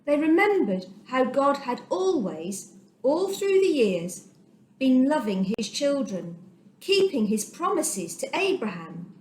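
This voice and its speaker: A woman reading a children's Bible story aloud as a storyteller, with dramatic, deliberate phrasing and emphasis, recorded in an echoey room. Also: high-pitched, sing-song, darkish tone, a little hiss or hum.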